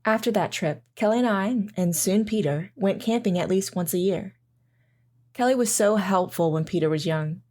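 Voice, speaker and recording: A tender, reflective mother in an audio drama, acted by a woman. She is wistful and sentimental, both when she narrates and when she talks, with a medium-pitched voice. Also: normal speed, darkish tone, close to an everyday voice. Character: loving, wistful mother recalling camping with her daughter.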